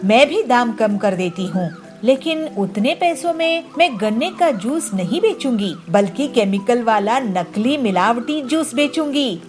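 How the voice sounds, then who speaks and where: A woman voicing an arrogant, greedy female crow who sells juice in a children's cartoon, scolding haughtily. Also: on the high side. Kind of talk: children's cartoon story voice acting.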